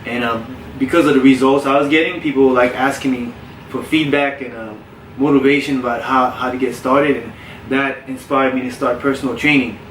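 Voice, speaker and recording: A man who grew up in South Florida, a personal trainer telling his story in a relaxed, candid way with frequent restarts. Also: medium pitch, a little fast, slight background noise. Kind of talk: casual podcast interview. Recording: roomy, distant mic with faint ambience.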